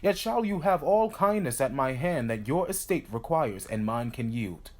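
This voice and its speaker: An actor playing a stately king in a Shakespearean drama, courteous but quick to take offence, in formal verse. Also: medium pitch, expressive, a little mellow, natural voice. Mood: judicious. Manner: regal, formal, declamatory. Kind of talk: Shakespearean stage-style audio drama acting.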